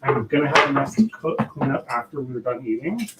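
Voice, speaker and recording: A man's voice: mid-range voice, animated, fairly quick, heavy background noise. A man hosting a casual live cooking demo, rambling with lots of fillers and restarts, in a home kitchen. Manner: says he has a loud voice. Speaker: cooking show host.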